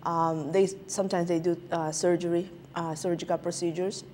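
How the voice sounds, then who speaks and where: Woman, lowish voice, halting speech, frequent fillers, faint hiss. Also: little pitch movement, warm tone. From Peru. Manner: halting, many filler sounds. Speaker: guest physician. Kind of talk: interview answers.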